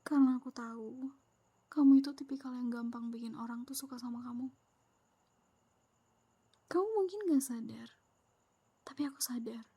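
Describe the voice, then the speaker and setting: A young woman voicing a clingy, possessive, jealous girlfriend in a whispered ASMR roleplay, soft, sweet and needy, close to the microphone. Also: fairly high pitch, moderate pace, long pauses, breathy edge, no echo.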